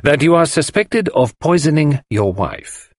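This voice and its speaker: A man voicing a theatrical, commanding detective, formal and deliberate, in an audiobook. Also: medium pitch, sing-song, slightly rough, no echo, studio quality, pitched above the performer's own voice. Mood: pointed, warning. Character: theatrical Belgian male detective, precise, commanding, dramatic. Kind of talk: audiobook character voice.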